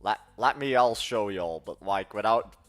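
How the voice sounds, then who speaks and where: Young Dutch-accented man, low-voiced and rambling. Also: a little slow, soft background music. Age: young adult. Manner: rambling, self-correcting, chatty. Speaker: livestream host. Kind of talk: casual livestream chat.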